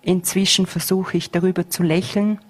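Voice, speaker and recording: A woman's voice: fairly low pitch, level tone, breathy edge. A middle-aged woman, a school head answering interview questions earnestly, with frequent hesitations. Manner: earnest, measured, with many hesitations.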